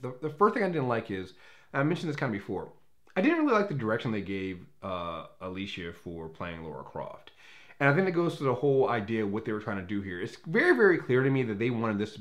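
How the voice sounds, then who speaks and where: A man with a fairly low voice delivers a casual, opinionated movie review. He rambles and corrects himself, repeating words while he works toward his point. His wording is blunt and loosely profane.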